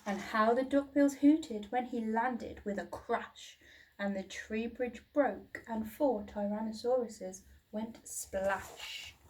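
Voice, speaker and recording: A woman's voice: a little high, takes it slowly, darkish tone, a little hiss or hum. A woman reading a children's storybook expressively, like a teacher, recorded at a distance from the mic.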